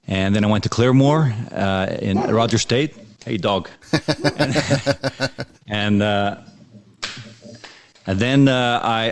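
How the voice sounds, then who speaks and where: A man from Iceland, a country singer, answering interview questions in a relaxed, halting way and often repeating words. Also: on the low side.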